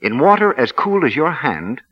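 A man's voice: medium pitch, big pitch swings, moderate pace. A man reading a scripted 1940s radio advertisement as announcer, with emphatic, polished salesman delivery. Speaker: commercial announcer. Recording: dull vintage radio recording.

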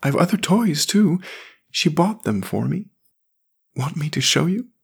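A man voicing a sullen, lonely young boy in an audiobook, raising his voice high and childlike. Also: big pitch swings, a little slow, creaky, husky, exaggerated voice. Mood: wistful. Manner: high childlike voice, pleading and sulky. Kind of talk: audiobook character voice.

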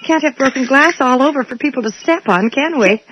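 Fluttery, high-voiced office receptionist. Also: female voice, lively intonation, brisk, a bit bright, slight background noise. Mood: fussy, apologetic. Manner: flustered, fussy, chatty. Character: fluttery, chatty, genteel female office receptionist. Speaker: actor in radio drama. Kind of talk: 1980s radio drama acting. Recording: dull radio recording.

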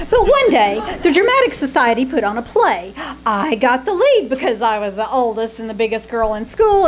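A woman's voice: high voice, rough recording. A woman playing a dramatic, scatterbrained aspiring film actress in a theatrical, gushing old-time radio comedy style. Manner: theatrical, mock-tragic, gushing. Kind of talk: 1950s radio comedy acting, live on stage. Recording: live stage recording with faint noise.

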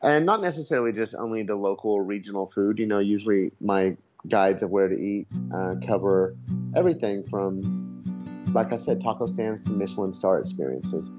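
A man's voice: fairly low pitch, moderate pace, a little mellow, lo-fi sound, soft background music. A man on a remote call as a podcast guest who blogs about food, chatting casually and laughing at himself. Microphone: Skype call.

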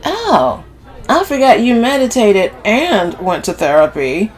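A woman narrator voicing a brash, sharp-tongued, scornful woman in an audiobook story, with sassy and profane delivery. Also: on the high side, expressive, moderate pace, some chatter behind, voice pushed up, close to an everyday voice. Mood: defiant, mocking.

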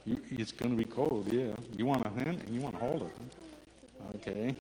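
Man, loud voice, children's Bible teacher, questioning kids; long reverb, faint ambient noise. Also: mid-range voice, moderate pace. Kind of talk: Bible story told to children, then a hands-on activity.

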